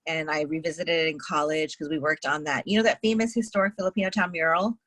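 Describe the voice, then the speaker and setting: A woman chatting casually on a video call, relaxed and rambling with fillers. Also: sits low, fast talker, no room sound. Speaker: panelist. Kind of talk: casual chat.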